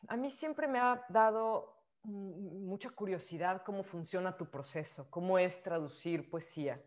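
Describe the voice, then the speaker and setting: A young woman hosts an interview over a video call from a room lined with bookshelves. Her voice sits low, and she frames her questions with hesitant fillers, using a laptop webcam mic. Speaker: host.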